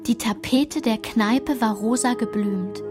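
An actress narrating rules and village routines in a radio play, in a clipped, matter-of-fact literary recitation. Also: female voice, a little high, takes it slowly, music in the background.

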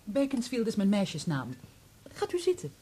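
An actress playing a guarded, worldly society woman in a Dutch radio play, with a husky voice. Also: mid-range voice, wildly swooping pitch, moderate pace, warm tone, a little hiss or hum.

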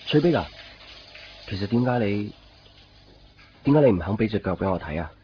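Low-voiced, fast-talking man acting an earnest young boyfriend. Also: expressive, a little hiss or hum, close to an everyday voice. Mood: tentative, curious. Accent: Hong Kong Cantonese. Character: earnest, curious young boyfriend, unsure about his secretive girlfriend. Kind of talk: Cantonese radio drama acting with reflective narration. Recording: muffled 1990s radio drama, background music.

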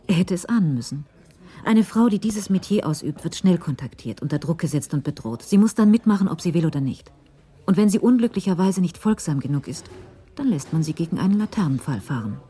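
A woman narrating a literary crime story in a radio drama, in a measured storytelling manner. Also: lowish voice, expressive, mellow, husky. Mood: measured, suspenseful.

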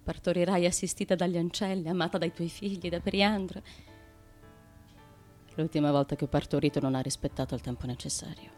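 An actress in a theatrical radio drama playing a wronged, pregnant noble wife, reserved and anxious, with a plaintive, insistent delivery. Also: female voice, on the low side, a little fast, a little mellow, soft background music, natural voice.

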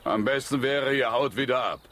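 A man's voice: mid-range voice, normal speed, a bit bright, a little hiss or hum, natural voice. A man playing an angry, distrustful king in a children's radio play, commanding and indignant. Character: angry, imperious African king, distrustful of strangers.

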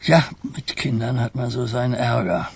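An actor playing an aging mafia boss in a radio play, rueful, halting and confiding. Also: male voice, mid-range voice, vocal fry, darkish tone, slight background noise, lightly acted. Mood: wistful. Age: older.

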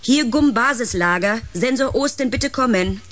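An actor playing a calm, matter-of-fact expedition member at base camp, who also narrates the radio play. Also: mid-range voice, moderate pace, a little hiss or hum, close to an everyday voice. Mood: raised, hesitant.

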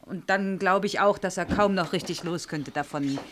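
An actress playing a worried, exasperated middle-aged mayor's wife who explains plaintively in a radio drama. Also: female voice, on the low side, moderate pace, loud music behind the voice, lightly acted. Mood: worried, frank. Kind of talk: 1970s East German radio drama acting.

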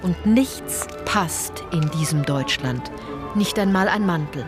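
A woman's voice: lowish voice, lively intonation, slow. An actress playing a sardonic female tour guide in a German radio play, addressing listeners directly with theatrical irony. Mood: ironic, storytelling. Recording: music under the voice. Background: music.

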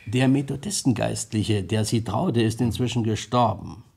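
An actor playing a shrewd, self-assured veteran detective, dry and politely probing, in an old German radio crime drama. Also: male voice, lowish voice, normal speed, vocal fry, darkish tone, slightly rough. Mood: confiding.

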